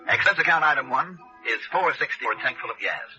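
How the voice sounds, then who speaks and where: An actor playing a hardboiled but decent American insurance investigator in a 1960s noir radio drama. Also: male voice, mid-range voice, animated, fast talker, close to an everyday voice. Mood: brisk, wry narration. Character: hardboiled male freelance insurance investigator, brisk yet decent. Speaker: lead actor and narrator. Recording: dull old radio broadcast, music behind.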